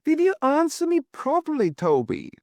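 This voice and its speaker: A narrator putting on a high, fretful, sentimental landlady. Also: wildly swooping pitch, moderate pace, a little mellow, dry recording, heavily acted. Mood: alarmed, insistent. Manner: plaintive, emphatic, high-strung. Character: fretful, sentimental, agitated middle-class landlady.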